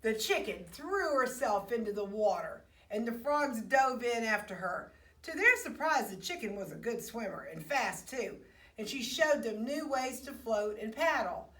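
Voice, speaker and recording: A woman's voice: a little high, big pitch swings, measured pace, a bit bright. A woman storyteller reading a children's picture book aloud expressively, with little asides to her young listeners. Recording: roomy, echoey, distant microphone.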